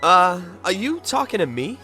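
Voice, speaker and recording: A man playing a wry, kindly ghost detective with an expressive delivery in a game fandub. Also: sits high, moderate pace, soft background music, heavily acted. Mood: startled. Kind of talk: animated game fandub acting.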